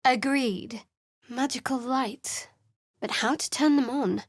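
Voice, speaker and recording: A woman voicing a guarded, sardonic young cleric of a dark goddess in a fantasy game, speaking in a dry and wry way. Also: sits high, takes it slowly, dry recording. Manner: dry, wry and cool, with sly sarcasm. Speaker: party companion in a fantasy video game. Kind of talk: recorded game voice lines. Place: studio booth. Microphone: close studio mic.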